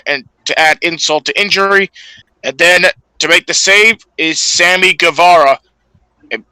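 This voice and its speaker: Male podcast co-host, forceful bright tone, higher-set voice, halting recap delivery with stumbles. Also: smooth, no room sound, rough recording. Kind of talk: show recap from notes.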